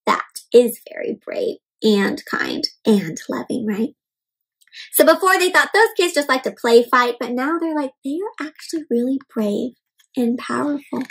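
A young woman reading a storybook aloud to children in an expressive, explanatory way. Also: high-pitched, measured pace, creaky, slightly rough. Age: young adult. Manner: expressive, explanatory, asks the listener questions. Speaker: host of a children's read-aloud show. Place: indoor room at home.

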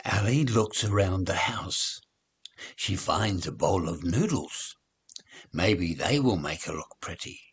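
An older man reading a children's story aloud, with expressive, deliberate phrasing. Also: deep voice, breathy edge. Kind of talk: children's read-along story narration.

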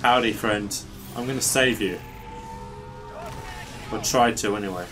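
A young man in his twenties giving excitable, casual commentary on a video game as he plays. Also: fairly low pitch, measured pace. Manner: excitable, exclamatory, talking to himself. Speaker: gaming streamer. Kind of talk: casual let's-play commentary. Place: small room at home. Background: game music.

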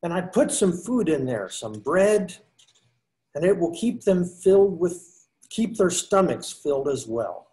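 A man's voice: sits high, big pitch swings, a little slow, mellow. An older man playing a humble, pious young friar, musing aloud to himself in amateur readers theater. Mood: satisfied. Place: indoors at home, video call.

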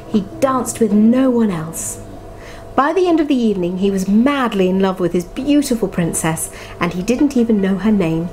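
A woman reading a bedtime storybook softly and expressively, with chatty asides about the pictures. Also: on the high side. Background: music.

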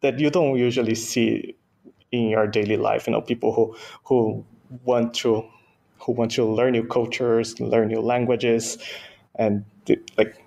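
A man from Brazil, a native Portuguese speaker, answering interview questions conversationally as a guest engineer, with halting phrasing and repeated words. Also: medium pitch, flat delivery, vocal fry, darkish tone.

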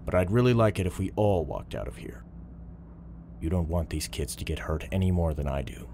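An actor playing a calm, earnest police officer in an audio drama, negotiating in a measured, pleading way. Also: male voice, low-pitched, vocal fry, noisy background.

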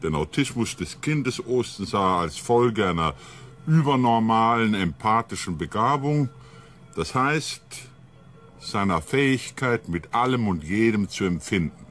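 A man playing a calm, patient ship psychologist who explains things emphatically in a science fiction radio drama. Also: lowish voice, measured pace. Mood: measured, explanatory.

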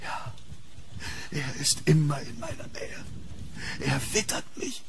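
Casting: male actor for a hunted gentleman in horror audio drama, fearful and urgent, slightly high voice, faint noise behind. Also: expressive, slow, vocal fry, full character voice. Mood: uneasy. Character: hunted, fearful English gentleman haunted by a demon.

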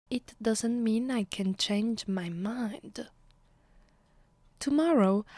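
Slow, haughty Italian-accented vampire mistress, acted by a woman. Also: medium pitch, darkish tone, dry recording. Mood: haughty, threatening. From Italy. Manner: slow, haughty, deliberate with pauses, often breathy whispering. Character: imperious ancient female vampire mistress, cold, mocking. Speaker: ASMR voice actress. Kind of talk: ASMR roleplay, slow intimate delivery. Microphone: close, dry microphone.

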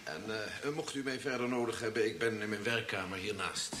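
Learned male professor: formal, precise, bright-edged low voice, radio drama. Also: fairly quick, a little hiss or hum. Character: pedantic, courteous male anatomy professor. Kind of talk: radio play acting in Dutch.

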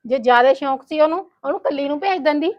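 A woman's voice: high-pitched, very fast, muffled recording, lightly acted. A woman playing a spiteful sister-in-law in a family drama, nagging, accusing and sarcastic. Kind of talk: Punjabi domestic drama dialogue.

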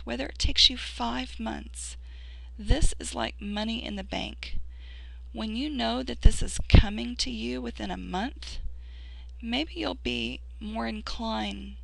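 A hoarse woman talks through an online tutorial over her screen in an earnest, encouraging way. Her voice is heavily gravelly and strained, with plenty of fillers and restarts. The recording is close and dry, with no room sound. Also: medium pitch, fairly bright tone, dry recording, rough recording. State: losing her voice.

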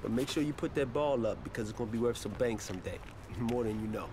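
Man acting a smooth American hip-hop boss. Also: mid-range voice, quick pace, background noise, natural voice. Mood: assured, friendly. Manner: laid-back street slang, chuckling patronage. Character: smooth, confident hip-hop mogul, easygoing street boss. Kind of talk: video game cutscene acting.

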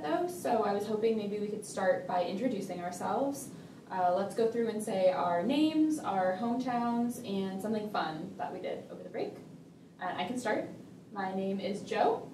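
A woman's voice: medium pitch, warm tone. A young woman leading group introductions in a friendly, upbeat way, recorded in a meeting room. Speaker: group leader. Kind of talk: scripted role-play. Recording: roomy from a distance, faint hum.

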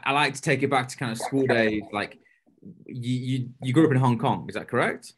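A man in his thirties hosting a casual interview, asking questions in informal, halting phrases. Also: mid-range voice, background noise. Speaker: podcast host, interviewer. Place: indoor home room, video call.

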